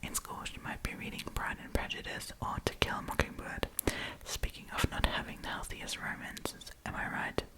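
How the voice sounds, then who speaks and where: A woman speaking in a breathy whisper, chatty and informal, as an ASMR storytime host reading and commenting casually. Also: moderate pace, rough recording.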